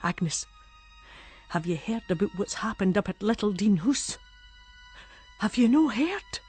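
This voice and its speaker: A woman's voice: mid-range voice, lively intonation, measured pace, a little mellow, husky, soft background music. An actress playing a gossipy, superstitious Scottish village woman, chatting conspiratorially in Scots dialect as a dramatic monologue. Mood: gossiping, conspiratorial.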